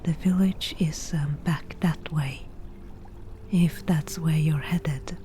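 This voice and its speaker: A woman plays a wry forest witch who brews potions and teases strangers in an ASMR roleplay. Her whisper is low and mellow, delivered slowly and dryly, as if nothing much impresses her. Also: steady tone, a little hiss or hum. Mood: curious, mocking. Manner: whispered, dry teasing, unhurried.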